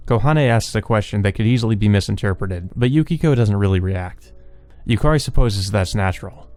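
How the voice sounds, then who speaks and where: A woman's voice: very deep voice, brisk, dark tone, no echo, faint music behind. A woman reading visual novel narration aloud with casual commentary of her own. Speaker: let's player.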